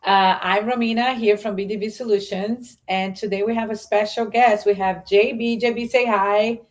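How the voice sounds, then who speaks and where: A woman's voice: medium pitch, steady tone, normal speed. A woman from Argentina hosting a live stream, talking in a chatty way and often restarting her sentences. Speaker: host.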